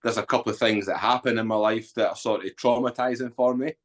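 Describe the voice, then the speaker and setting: A man's voice: fairly low pitch, steady tone, fast, a bit bright. A man in his forties from Edinburgh with a Scottish accent, a podcast guest telling his life story in a blunt, earthy way with frequent ums. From Scotland. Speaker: guest, author and former soldier. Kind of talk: candid storytelling interview.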